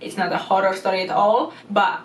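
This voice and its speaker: A woman with a Polish accent talks to camera in an earnest, casual way, restarting often as she works through her non-native phrasing. Her pitch stays flat and even. She sits back from the mic in an echoey room, with a faint hum behind her. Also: a little fast, far from the mic. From Poland. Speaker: YouTuber. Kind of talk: casual explainer monologue. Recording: roomy echo, speaker away from the mic.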